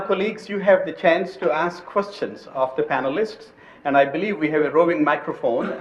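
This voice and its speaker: A man moderating a conference panel session, politely directing the questions. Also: fairly high pitch. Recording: dull audio with faint noise.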